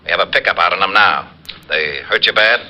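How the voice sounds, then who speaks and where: An actor playing a businesslike police lieutenant, clipped and matter-of-fact, in an old-time radio crime drama. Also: male voice, low voice, brisk, sharp tone, slight background noise.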